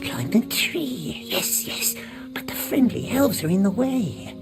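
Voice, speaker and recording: A woman's voice: mid-range voice, sing-song, takes it slowly, vocal fry, in a whisper, over loud music, heavily acted. A woman playing a sly, childish, wheedling creature in a video game, blurting short phrases and speaking of itself in the third person. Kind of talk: video game character acting.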